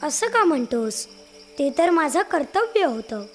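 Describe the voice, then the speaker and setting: Woman as a kindly male cartoon dove, high voice, gentle, humble, earnest, faint music behind. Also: very animated, measured pace. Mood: modest. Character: kind, humble male dove, dutiful friend. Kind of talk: children's animated story dialogue.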